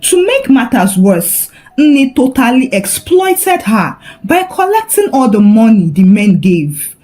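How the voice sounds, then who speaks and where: A woman narrating a scripted folktale in a dramatic, expressive storytelling manner. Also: fairly high pitch, takes it slowly.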